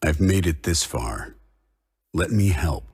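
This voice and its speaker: A man playing a solemn warrior in a video game, with a deep, gravelly voice. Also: animated, slow pace, a little mellow, heavily acted. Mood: solemn resolve. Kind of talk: video game character acting. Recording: long hall-like reverb.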